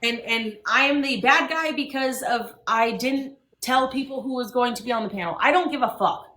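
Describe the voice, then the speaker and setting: A woman hosts a live stream in a blunt, heated rant, swearing hard. Her voice sits high and sounds bright and sharp. The room around her is echoey. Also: moderate pace. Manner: blunt, profane, emphatic.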